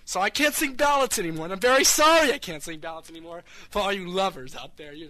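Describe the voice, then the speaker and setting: A street singer, a man, rambling earnestly through song introductions with many restarts, his voice a little hoarse. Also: high-pitched, lively intonation, fast, pushed and bright.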